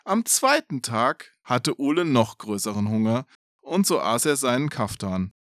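A man's voice: medium pitch, sing-song, a little slow, a bit bright, no echo, studio quality. A man reading a folk tale aloud expressively as a podcast storyteller, with casual asides.

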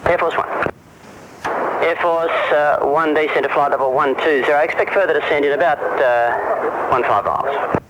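A man's voice: on the high side, fairly even intonation, moderate pace. An Australian man working as an air traffic controller, giving clipped procedural instructions over the radio. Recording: noisy aircraft radio transmission.

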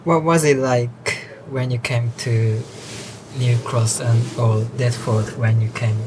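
A man's voice: medium pitch, steady tone, takes it slowly, background noise. A man conducting an interview, asking questions and stumbling as he rephrases them. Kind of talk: oral history interview.

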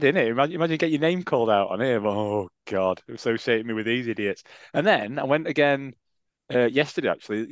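A man with a Sheffield accent, chatting and laughing at himself as a podcast co-host. His voice sits at a middle pitch and comes through slightly dull and muffled. The recording is dry and close, with no room sound. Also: no echo. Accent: Sheffield English. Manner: self-deprecating, laughs often. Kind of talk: casual chat.

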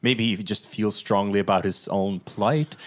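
A man's voice: on the low side, unhurried. A man talking informally and conversationally as a podcast co-host in a casual film discussion.

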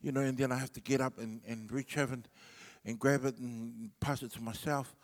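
A man's voice: mid-range voice, fairly even intonation, brisk. Laid-back New Zealand man, echoing hall. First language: Samoan. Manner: laid-back and rambling, with many fillers. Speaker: comedian. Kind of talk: stand-up storytelling.

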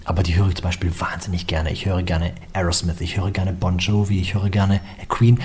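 A young man with a low, very husky voice talks about his musical path in a loose, rambling way. He keeps dropping filler phrases into his sentences. The delivery is relaxed, conversational interview talk. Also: fairly quick. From Germany.

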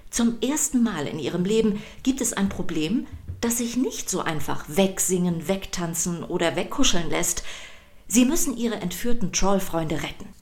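A woman with a slightly low voice narrates a children's podcast. She reads from a script in a playful storytelling way, stressing key words. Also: expressive, normal speed, a little mellow.

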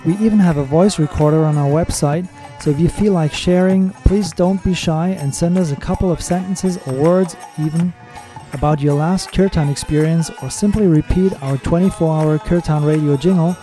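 A man hosting a podcast, reading his scripted episode introduction and news in a measured, steady way. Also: on the high side, faint music behind. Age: adult with children. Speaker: podcast host.

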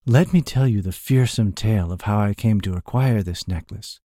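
A man voicing a smooth-talking, sly young male rogue who speaks in a purring, grandiose way in a storybook reading. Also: on the low side, normal speed, dark tone, dry recording, clean studio sound, lightly acted.

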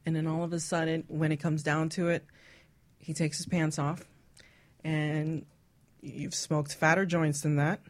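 A woman's voice: deep voice, little pitch movement, measured pace, pauses often. A woman hosting a radio talk show in a casual, bawdy chat style, with a brash, teasing manner. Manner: teasing, brash, laughs often.

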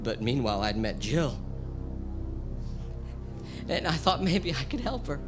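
Haunted widower in an audio drama, stammering, confiding, swinging pitch, music behind. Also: male voice, sing-song, unhurried. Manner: stammering, confiding, erupting into shouts. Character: grieving widower haunted by a vengeful creature. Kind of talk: radio drama monologue.

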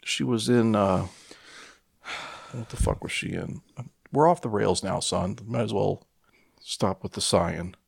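A man's voice: lowish voice, unhurried, gravelly, long pauses, husky, dry recording, studio quality. A man co-hosting a podcast, bantering casually about movies in slangy, foul-mouthed talk. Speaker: podcast co-host.

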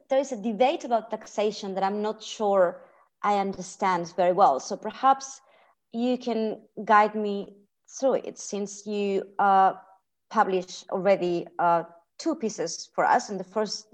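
A woman with a Spanish accent hosting a policy podcast, speaking in a friendly way with hesitant restarts. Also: mid-range voice. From Spain. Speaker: podcast host, research fellow. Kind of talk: podcast interview.

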